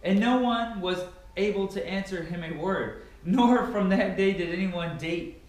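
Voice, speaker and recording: A man's voice: sits high, expressive, unhurried. A man giving a solemn, declamatory dramatic reading of scripture as an amateur reader. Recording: very echoey, reverberant room.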